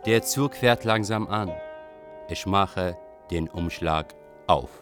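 A man playing a reflective train traveller and writer, narrating in a measured, literary radio drama manner. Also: low-pitched, over music. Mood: observant.

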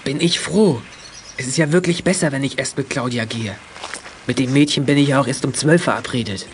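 A man's voice: medium pitch, a little fast, slight background noise, close to an everyday voice. A young man acting a shy, earnest teenage boy in a children's audio drama, speaking hesitantly. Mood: relieved. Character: shy, flustered teenage boy confessing and pleading innocence.

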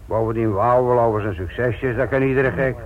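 An actor playing a blunt, sardonic, middle-aged police inspector lecturing trainees in a Dutch radio play. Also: male voice, medium pitch, brisk, natural voice. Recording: tinny, noisy old radio play recording.

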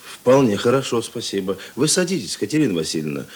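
An actor playing a gruff, reserved Soviet police investigator in a radio play, speaking tersely and musingly. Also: male voice, medium pitch, very animated, fast talker. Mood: polite, reserved. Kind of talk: Russian radio play acting.

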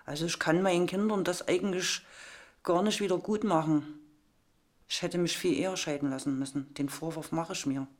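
Female interviewee, middle-aged, slightly low pitch, frank and plain-spoken, everyday colloquial phrasing. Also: steady tone, normal speed. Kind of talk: frank personal account.

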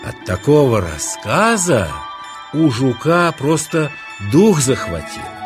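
A male storyteller narrates a children's fairy tale over clear background music. His delivery is slow and dramatic, with a very lively, swooping melody. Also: medium pitch. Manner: expressive, dramatic.

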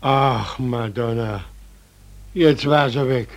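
A man acting in a 1940s German radio play as a sympathetic official, asking questions with drawn-out, astonished exclamations. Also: mid-range voice. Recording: old 1940s radio recording.

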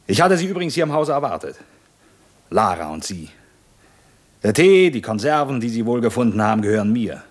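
An actor in a 1950s German radio drama playing a hunted, bitter, proud revolutionary commander with an intense, rhetorical delivery. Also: male voice, medium pitch, sing-song, fairly quick, pauses often. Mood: tense, curt. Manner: intense, rhetorical, abrupt shifts between hushed and emphatic.

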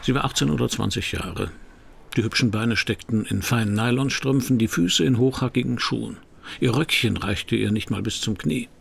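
A man playing a weary, wry private detective in a noir radio drama, speaking tersely and dryly with a gravelly voice. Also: medium pitch, normal speed, slight background noise. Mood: wry, brooding. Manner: terse, laconic, dry. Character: weary, wry male private detective narrating his case.

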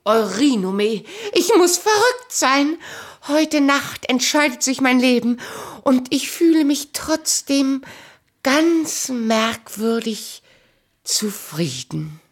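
An actress playing a proud, passionate, sharp-tongued noblewoman in a radio play, with imperious delivery and dramatic pauses. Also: high voice, lively intonation, exaggerated voice. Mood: bitter, excited. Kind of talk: theatrical German radio play dialogue.

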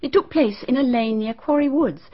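An actress with a British accent playing a prim, nervous schoolteacher being questioned in a radio drama, answering in a clipped, guarded way. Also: female voice, high-pitched, normal speed, breathy edge. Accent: British English. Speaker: teacher questioned by a detective. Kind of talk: BBC radio drama acting. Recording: dull, muffled archive radio broadcast.

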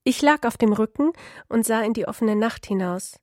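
Higher-voiced woman reading literary prose, dry sound. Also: normal speed, a little mellow, no echo. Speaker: author reading her own prose.

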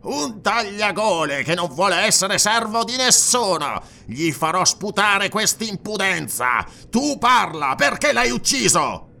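A man's voice: high voice, sing-song, unhurried, creaky, few pauses, pushed and bright, voice pushed up, heavily acted. A man voicing a haughty, impatient police inquisitor in a fantasy audiobook, with a clipped, demanding delivery. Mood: indignant. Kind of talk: dramatized fantasy audiobook dialogue.

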